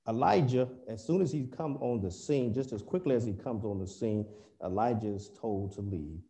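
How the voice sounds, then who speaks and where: A man gives a Bible lesson to a remote congregation over a video call, his voice low-pitched and very dark and mellow. He talks in a halting way, full of fillers, restarts and corrections as he works through the passage. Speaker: preacher.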